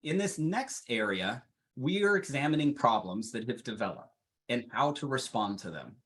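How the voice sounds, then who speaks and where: A man delivers a training webinar from his slides. His voice sits at an ordinary middle pitch, and he reads at a steady, even pace. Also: normal speed. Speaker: presenter.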